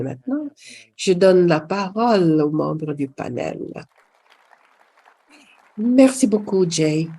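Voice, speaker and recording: A woman's voice: low voice, gravelly, mellow, slightly rough. A woman moderating a panel, speaking hesitantly with frequent fillers.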